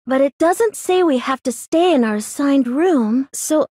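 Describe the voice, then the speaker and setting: A woman playing a timid, gentle, earnest youngster in a mystery game, hesitant and apologetic. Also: high-pitched, animated, a little slow, dry recording, clean studio sound. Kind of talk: game character voice acting.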